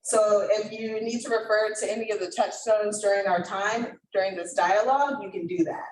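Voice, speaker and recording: Female event facilitator, measured and deliberate, mid-pitched, heard off-mic in an echoey room. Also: fairly even intonation. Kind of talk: presenting discussion guidelines to a hybrid audience. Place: indoor event room with online participants. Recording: echoey room, distant mic, faint noise.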